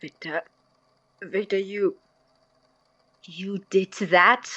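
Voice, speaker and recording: A woman playing an appalled, anxious young male college roommate in an audio drama, blunt and emotional, with a husky, breathy voice. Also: mid-range voice, fairly even intonation, extremely slow, a bit bright, dull audio. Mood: stunned.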